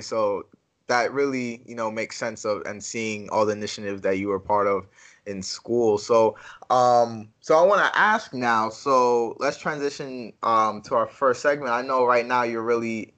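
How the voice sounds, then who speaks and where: A man hosting a casual interview, asking relaxed, rambling questions. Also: fairly low pitch. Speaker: host.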